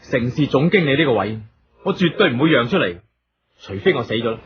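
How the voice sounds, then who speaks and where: An actor playing a bitter, suspicious eldest brother, a wheelchair-bound company boss, scornful and domineering in a radio drama. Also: male voice, mid-range voice, expressive, fairly quick, slightly rough. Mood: defiant. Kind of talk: Cantonese radio drama acting. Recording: dull radio drama recording, music behind.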